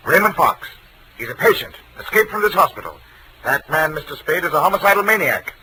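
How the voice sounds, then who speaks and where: A man's voice: fairly high pitch, animated, fairly quick, lightly acted. A man playing a grave, evasive asylum doctor in 1940s radio style, speaking formally and with dramatic emphasis. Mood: grave, urgent. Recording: noisy vintage radio recording.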